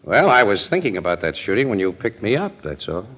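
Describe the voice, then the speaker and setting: An actor playing a wry, skeptical, streetwise newspaper photographer in an old-time radio crime drama, with clipped, slangy wisecracks. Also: male voice, fairly low pitch, big pitch swings, fairly quick, fairly bright tone. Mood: guarded, businesslike. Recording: dull, lo-fi old radio recording.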